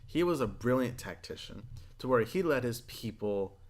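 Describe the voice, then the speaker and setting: A man hosting a casual podcast, retelling stories in a rambling, profane, animated way. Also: mid-range voice, normal speed. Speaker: podcast host.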